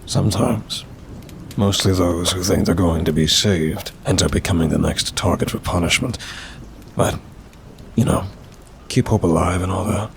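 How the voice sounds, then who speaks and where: A man's voice: sits low, normal speed, creaky, long pauses, a little hiss or hum. A man voicing a bored, condescending demon in an intimate ASMR roleplay, with a dry, languid, faintly mocking delivery. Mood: dry, matter-of-fact. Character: bored male demon with claws and fangs, wry, condescending.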